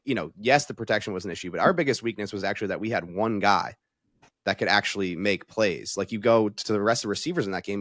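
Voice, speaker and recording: A man with a fairly low voice in a casual football discussion. He lays out his reasoning step by step like a reporter on a panel, and the sound is dry and close. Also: fairly bright tone, dry recording. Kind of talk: casual sports analysis discussion.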